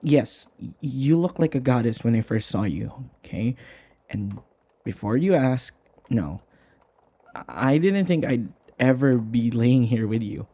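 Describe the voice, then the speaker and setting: A man playing a flustered, submissive servant in an intimate audio roleplay, hesitant and apologetic, stammering with a breathy voice. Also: mid-range voice, animated, measured pace, mellow. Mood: embarrassed, flustered. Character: flustered, submissive young male servant devoted to his vampire mistress.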